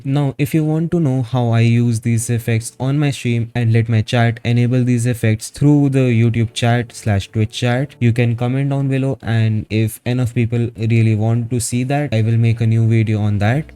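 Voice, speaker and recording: A man narrating a step-by-step software tutorial in a casual, instructional manner, as a streamer would. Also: medium pitch, steady tone, normal speed, few pauses, clear, resonant tone. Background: background music.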